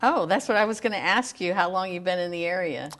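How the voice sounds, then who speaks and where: A woman's voice: fairly low pitch, fast, fairly bright tone. A woman hosting a local TV health program, interviewing guests in an upbeat, encouraging way. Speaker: TV health show host.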